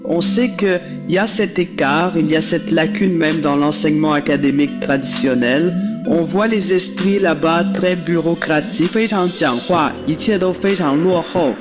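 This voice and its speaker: A man's voice: a little high, moderate pace. A man delivering scripted promotional narration. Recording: loud music under the voice. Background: music.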